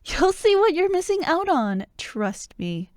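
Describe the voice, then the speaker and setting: A woman playing a bubbly, bossy young childhood friend who loves Halloween, in an animated, teasing ASMR roleplay. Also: extremely high pitch, measured pace, no room sound, clean studio sound, heavily acted. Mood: teasing, hyped. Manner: animated, expressive, teasing, with laughs and sighs. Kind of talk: ASMR roleplay, one-sided acted conversation.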